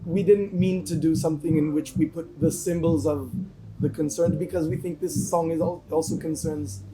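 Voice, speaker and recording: A man's voice: fairly high pitch, steady tone, normal speed, noisy background. A man from a band answering interview questions thoughtfully, with frequent restarts. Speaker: band member.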